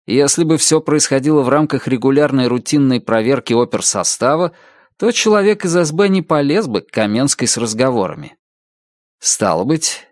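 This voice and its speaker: A man reading a detective novel aloud as an audiobook narrator, with an expressive, varied delivery. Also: mid-range voice, no echo, clean studio sound.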